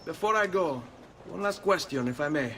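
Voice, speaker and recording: Casting: proud young Italian noble out for revenge, Italian accent, forceful higher voice, game cinematic. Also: male voice, animated, moderate pace, a little hiss or hum. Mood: polite, curious.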